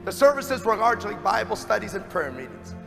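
A man's voice: sits high, very animated, fairly quick, vocal fry, full character voice. A man acting a dignified, fervent revival witness of the early 1900s, narrating a stage play with solemn, dramatic emphasis. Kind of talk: theatrical monologue in a stage play. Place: stage of a large dark theatre. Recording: echoey hall, music behind.

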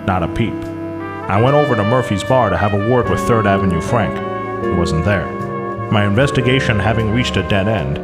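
Man playing a deadpan, low-voiced hard-boiled private eye. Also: fairly quick, close to an everyday voice. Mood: deadpan, world-weary. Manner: deadpan, clipped tough-guy delivery. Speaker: detective narrator. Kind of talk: mock old-time radio detective noir narration. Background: music.

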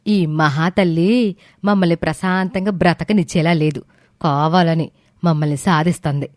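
A woman's voice: on the low side, steady tone, normal speed, no echo, clean studio sound, natural voice. A woman playing an older, spiteful mother-in-law who scolds and complains indignantly in a story drama. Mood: resentful.

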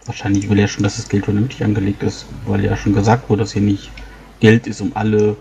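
A man with a soft-edged, darker timbre and a lowish pitch plays a character at a tabletop game. He explains things in long, rambling improvised turns. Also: completely flat delivery, fast talker, gravelly. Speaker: tabletop role-player.

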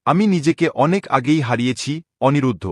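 A man playing a proud, vengeful young king in a cartoon drama, speaking gravely and declaiming with cold resolve. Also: medium pitch, animated, a little fast, no room sound, studio quality, natural voice. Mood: grave, determined. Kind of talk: dramatic Bengali cartoon voice acting.

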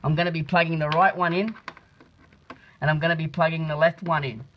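A man giving a step-by-step practical demonstration in a deliberate, halting way, repeating his phrases, picked up by a phone camera in a small room. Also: fairly high pitch, fairly even intonation, a little hiss or hum. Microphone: phone camera held nearby. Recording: muffled phone video sound.

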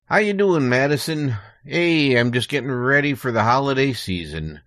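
American male guest, informative storyteller, animated intonation, dry studio-like sound. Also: mid-range voice, normal speed, no echo. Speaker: podcast guest. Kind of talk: prepared informative storytelling, conversational.

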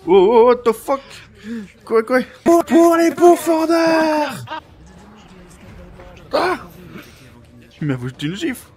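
A man's voice: extremely high pitch, sing-song, slow, pauses often, darkish tone. A man improvising playful roleplay banter as a streamer, theatrical and joking, prone to sudden outbursts.

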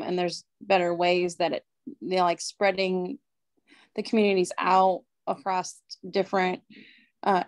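A young woman on a video call, speaking slowly and hesitantly with many fillers in a casual discussion. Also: fairly low pitch. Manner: slow, hesitant, lots of fillers.

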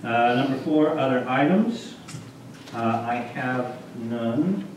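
Male meeting chair, halting and procedural, heard from far off in a very echoey, noisy room. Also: mid-range voice, expressive. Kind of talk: running a formal meeting agenda. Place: small council chamber, indoors. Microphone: distant camera microphone.

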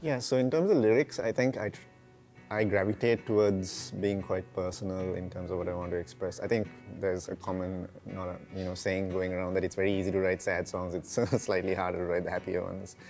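A man's voice: low-pitched, level tone, brisk, darkish tone. A man, a singer-songwriter talking reflectively and casually as an interview guest. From Sri Lanka. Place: large brick-walled room with big windows, indoors. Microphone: lapel mic. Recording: music behind the voice. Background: guitar music.

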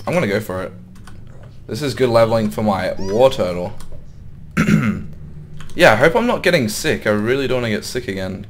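A young man with a fairly low voice talks through a game he is playing, wondering aloud and muttering to himself. His throat is a little sore, and his voice is starting to go. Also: a little hiss or hum. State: slightly sore, losing voice. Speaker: gaming YouTuber. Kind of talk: casual gameplay commentary.

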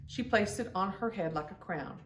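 A woman's voice: mid-range voice, moderate pace. Middle-aged female storytime host, mid-range voice, reading to children from a little way off the mic in a roomy space. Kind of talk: read-aloud children's storytelling. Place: indoor room at home. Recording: roomy, distant-mic home recording.

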